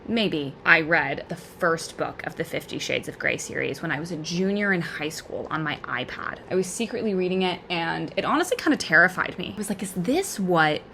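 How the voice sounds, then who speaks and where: American woman, twenties, lowish pitch, casual confessional vlog chat, candid, rambling, noisy ambience. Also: expressive, moderate pace, gravelly, hardly any pauses, pushed and bright. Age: 20s. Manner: rambling, self-deprecating, candid with swearing. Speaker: vlogger.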